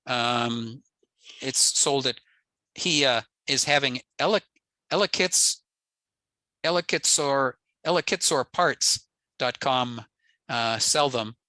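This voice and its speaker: Very bright, crisp-edged American man presenting. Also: medium pitch, slow, long pauses, dry recording, poor audio. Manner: matter-of-fact, plain-spoken. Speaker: presenter, amateur radio enthusiast. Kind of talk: informal technical slide presentation. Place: indoors, on a video call.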